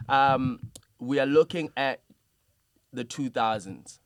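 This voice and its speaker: A man's voice: medium pitch, takes it slowly, smooth, dry recording. Encouraging male interviewer, dry sound. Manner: encouraging, frequent affirmations. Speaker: interviewer, host. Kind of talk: casual conversational interview.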